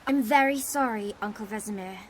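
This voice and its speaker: A woman's voice: medium pitch, fairly quick, a little hiss or hum, lightly acted. An actress playing a spirited young female trainee in a video game, sulky and pleading. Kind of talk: video game cutscene acting.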